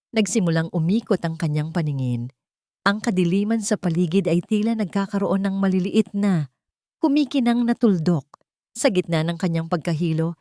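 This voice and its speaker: Lower-pitched woman narrating a dramatic story. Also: mellow, no echo.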